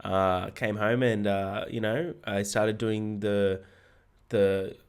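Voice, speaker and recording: A slightly low-pitched Australian man with a hoarse edge to his voice. He chats about films on a podcast with lively, joking energy and casual swearing. His delivery is loose and conversational. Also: slow. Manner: energetic, jokey, swears freely. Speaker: podcast co-host. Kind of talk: casual film podcast chat.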